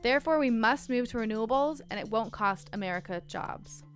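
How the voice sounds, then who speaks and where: A woman's voice: fairly high pitch, animated, brisk, faint music behind. A woman reading a scripted advertisement voice-over in an earnest, persuasive way. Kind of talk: scripted ad narration.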